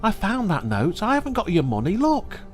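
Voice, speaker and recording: A man's voice: huge pitch swings, fairly quick, soft background music. A man voicing a scared young boy denying a theft, in a storybook reading. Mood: pleading. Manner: pleading, high and upset.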